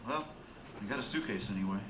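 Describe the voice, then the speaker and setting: An actor playing a jittery, down-and-out addict and petty thief who grumbles and mutters to himself in street slang, in a radio drama. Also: male voice, mid-range voice, expressive, normal speed, close to an everyday voice. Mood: sullen. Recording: roomy, with faint background noise.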